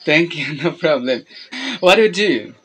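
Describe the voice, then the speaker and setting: A man's voice: on the high side, expressive, normal speed, a bit bright, soft background music, rough recording. Young Bangladeshi man, Bengali native, teasing video-call chat, easy laughter.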